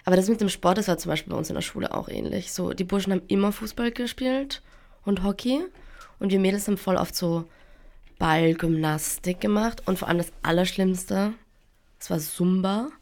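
A woman's voice: mid-range voice, a little fast, a little mellow. A teenage girl co-hosting a casual chat, speaking frankly and with complaint.

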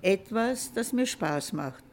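A woman's voice: mid-range voice, lively intonation, takes it slowly, a little mellow, a little hiss or hum. A woman of seventy-nine giving a prepared personal testimonial.

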